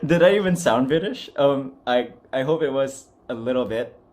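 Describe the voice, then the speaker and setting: A man's voice: mid-range voice, expressive, a little slow, darkish tone. A man hosting a casual voice acting challenge, chatty, giggly and self-deprecating. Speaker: amateur voice acting challenge host.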